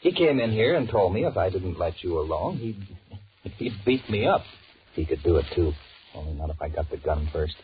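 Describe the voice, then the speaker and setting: A man's voice: sits low, very animated, normal speed. An actor playing a nervous middle-aged married businessman, blustering and stammering, in 1940s radio drama style on a muffled, noisy old recording. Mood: nervous, plotting. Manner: stammering aloud, muttering anxious repeated thoughts. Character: panicky married businessman, blustering and cowardly, secretly scheming. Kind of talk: 1940s radio horror acting with inner monologue.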